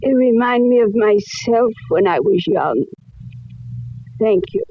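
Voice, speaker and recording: Slow, groaning, doting old duchess. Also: female voice, mid-range voice, lively intonation. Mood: doting, weary. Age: older. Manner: slow, gracious, fussing endearments. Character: ailing, doting older society lady known as the Duchess. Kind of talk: 1940s radio acting. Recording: muffled, noisy 1940s radio recording.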